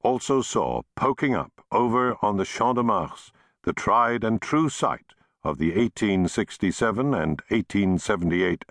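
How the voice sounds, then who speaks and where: A man narrating a history audiobook in a measured, expressive storytelling manner, recorded in a studio. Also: lowish voice, dry recording.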